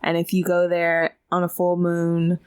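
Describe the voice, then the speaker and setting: A woman's voice: lowish voice, completely flat delivery, measured pace, clear, resonant tone. A woman co-hosting a podcast, chatting casually and conversationally. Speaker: podcast co-host. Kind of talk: casual chat.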